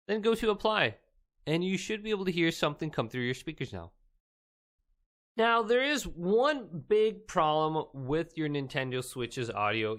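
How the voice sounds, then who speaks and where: A man's voice: a little high, fairly bright tone. A man presenting a casual step-by-step tech tutorial in an upbeat, emphatic presenter's delivery. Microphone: Blue Yeti desktop microphone.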